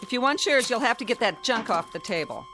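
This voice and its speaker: An actress playing a weary, impatient wife in a radio drama, dryly sarcastic and scolding. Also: female voice, on the high side, expressive, a little fast, bright, forceful tone, faint music behind.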